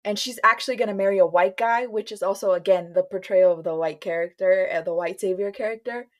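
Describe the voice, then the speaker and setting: A woman's voice: mid-range voice, fast talker. A woman co-hosting a podcast in casual chat, mixing English and Spanish with occasional hesitant fillers. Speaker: podcast co-host.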